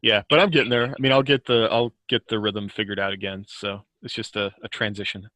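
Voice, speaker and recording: A man's voice: fairly low pitch, fairly even intonation, a little fast, bright, forceful tone, dry recording. An American man chatting casually and telling anecdotes as a podcast guest, informal and often chuckling as he talks.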